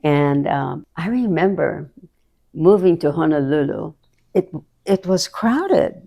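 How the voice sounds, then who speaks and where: Old hawaiian lady in her 90s telling stories, deep fry-ish voice, laughs a lot. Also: lively intonation, takes it slowly, warm tone. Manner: chatty storyteller, laughs often. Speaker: interview guest. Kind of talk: reminiscing conversation.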